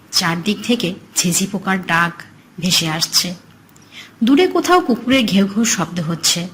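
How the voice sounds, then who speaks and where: A woman narrates a scripted horror story at a middle pitch. She is the storyteller of the piece, and there is a faint bed of noise and ambience around her voice. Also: a bit bright, rough recording.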